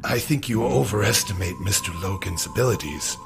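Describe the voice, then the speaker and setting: An actor playing a menacing, condescending chief of a shadowy agency in a video game cutscene, speaking slowly and deliberately. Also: male voice, on the low side, lively intonation, vocal fry. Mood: cold menace. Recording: music laid under the voice.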